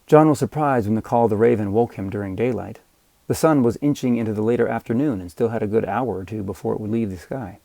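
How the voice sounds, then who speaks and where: A man narrating scripted fiction as an audiobook reader, with measured, dramatic storytelling. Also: fairly low pitch, creaky, warm tone, no room sound.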